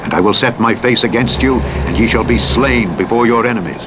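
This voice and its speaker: A man reading scripture as a narrator, in a solemn, measured, declamatory and formal way. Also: medium pitch. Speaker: Bible narrator. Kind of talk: solemn scripture reading from the King James Bible. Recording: loud vehicle engine noise over audiobook playback. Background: truck simulator engine and road noise.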